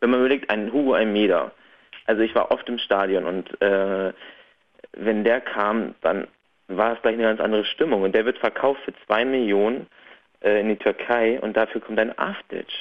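A man's voice: lowish voice, moderate pace, narrow, tinny audio. A male caller from Bremen on a radio phone-in, hesitant and full of fillers. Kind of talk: phone-in chat.

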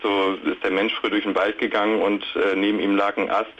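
A man's voice: on the low side, little pitch movement, a little fast, a bit bright. A man in his late thirties calling a radio show, speaking casually in a reflective, conciliatory way over a dull phone line with noise. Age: late 30s.

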